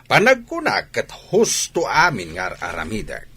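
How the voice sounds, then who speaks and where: A man playing a stern, quick-tempered middle-aged village father in a radio drama, scolding and arguing. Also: medium pitch, huge pitch swings, bright, forceful tone, husky, heavily acted. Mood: angry, stubborn. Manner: scolding, argumentative.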